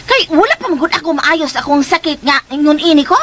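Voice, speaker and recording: A woman playing a silly, slow-witted country housemaid in a comic radio drama, with exaggerated exclamations and cackles. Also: high-pitched, lively intonation, a bit bright. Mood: excitable, comic. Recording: very noisy radio drama recording.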